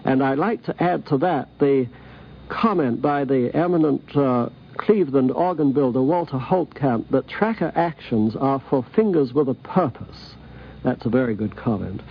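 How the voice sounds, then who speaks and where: A man with a gravelly, husky voice giving an explanatory talk on radio. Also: mid-range voice, animated, moderate pace. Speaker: commentator on organ building. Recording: dull 1950s radio recording.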